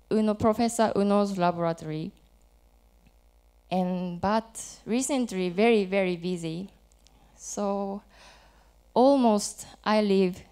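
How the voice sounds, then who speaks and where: A woman from Japan with a Japanese accent, in her thirties, giving a conference presentation in halting, simple English with small restarts. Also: mid-range voice, darkish tone, smooth. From Japan, Hokkaido. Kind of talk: academic presentation with light jokes. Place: conference hall.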